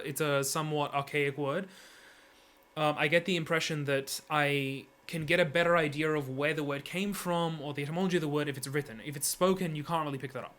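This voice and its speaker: A man giving an unscripted, analytical opinion monologue, halting often and correcting himself as he works through his argument. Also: on the high side, fairly bright tone. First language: English, heritage speaker of Serbian. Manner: hesitant, halting, frequent self-corrections.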